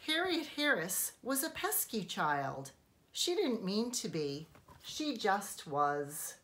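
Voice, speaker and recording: Bright, very animated woman reading a bedtime story. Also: medium pitch, a little hiss or hum. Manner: deliberate, animated, emphatic phrasing for young children. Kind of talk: expressive children's storybook read-aloud.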